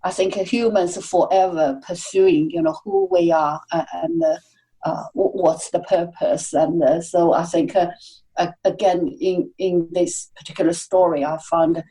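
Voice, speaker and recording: A woman, a guest on a podcast, speaking reflectively with many pauses and fillers. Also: lowish voice, steady tone, mellow, poor audio. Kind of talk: casual interview conversation.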